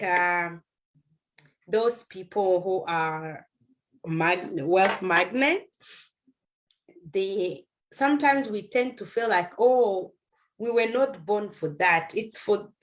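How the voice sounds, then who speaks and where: A woman in a coaching conversation speaks slowly and hesitantly. She restarts her sentences often, reflecting aloud on her doubts. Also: medium pitch. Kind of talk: conversational chat.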